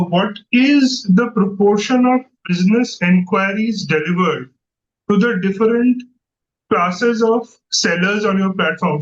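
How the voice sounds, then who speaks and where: A man's voice: high voice, dull audio. A man calling in as an analyst, asking hesitant, rambling questions on an earnings call over an unclear line. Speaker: analyst caller.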